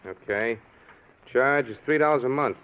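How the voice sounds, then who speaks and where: Brisk warehouse clerk played by an actor, dull sound. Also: male voice, medium pitch, clean tone, close to an everyday voice. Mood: businesslike. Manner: plain-spoken, businesslike. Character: brisk, offhand working-class warehouse night clerk. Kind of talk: 1940s radio drama acting. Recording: dull old radio broadcast.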